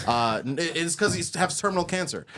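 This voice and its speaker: A man co-hosting a podcast, chatting in an excitable, rambling way. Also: a little high, brisk. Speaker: podcast co-host. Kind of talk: casual debate chat.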